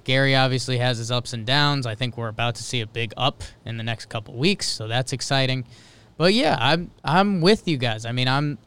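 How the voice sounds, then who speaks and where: Man, podcast co-host, smooth clear voice, casual sports talk, medium pitch, dry recording. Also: a bit bright, no room sound.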